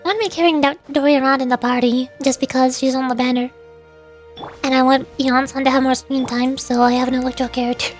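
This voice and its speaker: A woman streamer chatting casually about a video game in a rambling, playfully exasperated way, often halting and repeating words. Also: sits high, level tone. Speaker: streamer, VTuber. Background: background music.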